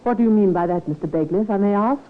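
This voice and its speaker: Actress as anxious nurse on tinny old radio. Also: female voice, mid-range voice, very fast, lightly acted. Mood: affronted. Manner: polite, earnest, formal. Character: anxious, devoted woman nurse, proper and easily offended. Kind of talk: old radio drama acting. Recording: tinny, lightly noisy old radio recording.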